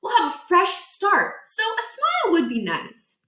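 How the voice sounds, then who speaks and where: A woman voicing a storybook mother who gently pleads with her sulking child in an upbeat sing-song. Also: very high-pitched, huge pitch swings, moderate pace, voice pushed up, exaggerated voice. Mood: coaxing, upbeat. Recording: echoey room.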